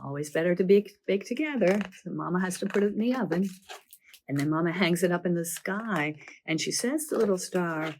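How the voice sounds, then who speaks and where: A croaky woman with a summer cold, a children's librarian presenting a library program and telling the stories from each book in a chatty, lively way. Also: lowish voice, a little fast, slight background noise. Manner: chatty, lively storytelling delivery.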